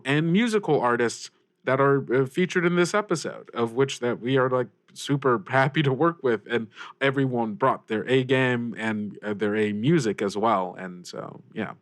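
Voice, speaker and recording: A man's voice: medium pitch, no echo, studio quality. A man hosting a podcast, giving casual announcements to listeners in an upbeat, informal, rambling way. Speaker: podcast host and creator.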